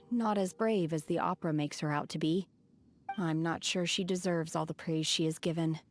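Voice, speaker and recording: A woman playing a reserved, solemn young woman in a video game, speaking in a restrained, deliberate, formal way. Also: fairly low pitch, fairly even intonation, natural voice. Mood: subdued reflective. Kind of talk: video game character acting. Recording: background music.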